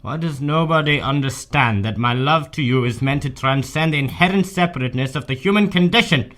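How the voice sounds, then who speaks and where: A man voicing a surly, brooding man who bursts out in gruff, exasperated complaints in an amateur radio drama. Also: fairly high pitch, fairly quick, a bit bright, close to an everyday voice.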